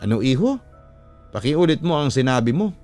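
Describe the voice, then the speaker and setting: Solemn, devout priest played by a male narrator, urgent and clean-toned, horror storytelling, soft music underneath. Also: mid-range voice, very animated, normal speed, natural voice. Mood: alarmed, stern. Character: grave devout male priest urging prayer against spirits.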